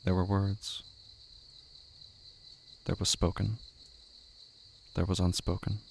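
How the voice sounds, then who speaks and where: An actor playing a somber, detached horror storyteller, narrating a literary script in measured, clipped phrases. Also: male voice, low voice, level tone, creaky, exaggerated voice. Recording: faint cricket-filled background noise.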